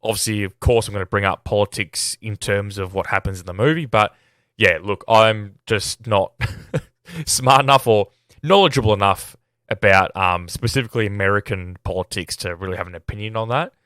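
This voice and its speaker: A man's voice: on the low side, moderate pace, fairly bright tone, dry recording, studio quality. An Australian man hosting a casual film review podcast while sick, talking in a rambling, self-deprecating, hesitant way. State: sick, voice at risk of giving out.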